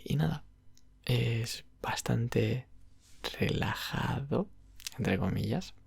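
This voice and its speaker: A man with an accent from Spain chatting softly and unhurriedly in ASMR style, breathy, creaky and half-whispered. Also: fairly low pitch, no echo. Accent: Spanish (Spain). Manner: unhurried, rambling. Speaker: ASMR roleplayer as video game shop clerk. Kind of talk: ASMR roleplay, soft casual chat about games.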